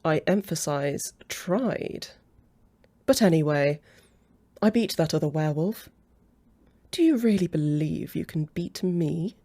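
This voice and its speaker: Woman playing a slow, mellow, haughty female vampire. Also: sits low, expressive, heavily acted. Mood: mocking. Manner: drawling, taunting, chuckling condescension. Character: haughty, possessive aristocratic female vampire, mocking and flirtatious. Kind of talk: roleplay ASMR audio drama.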